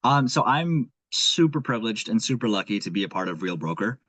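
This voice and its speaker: A man's voice: lowish voice, very animated, brisk, bright, forceful tone, dry recording. A young man from Nepal with a Nepali accent, a guest talking earnestly and thinking aloud in casual conversation. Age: young adult. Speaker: guest, aspiring investor.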